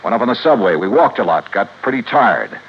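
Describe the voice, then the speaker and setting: An actor playing a grieving, hot-tempered insurance investigator with an American accent, in 1950s radio detective drama style. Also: male voice, lowish voice, very animated, fairly quick, slight background noise. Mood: earnest pleading. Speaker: lead actor and narrator. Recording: dull vintage radio recording with music.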